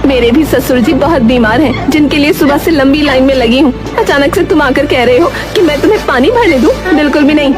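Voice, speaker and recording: A woman's voice: sits high, a little fast, hardly any pauses, voice pushed up, natural voice. A woman voicing an indignant, scolding village woman in a cartoon story, over music. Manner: scolding, refusing. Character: indignant village woman waiting in a water queue. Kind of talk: acted dialogue in a cartoon story. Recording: music behind the voice.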